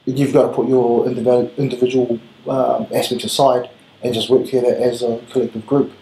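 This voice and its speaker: A man in his thirties with a New Zealand accent, a rugby head coach giving earnest, measured answers in an interview. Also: medium pitch, little pitch movement, mellow. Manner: earnest, measured, with filler ums. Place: small room with gray wall, seated at table. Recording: roomy echo, faint room hiss.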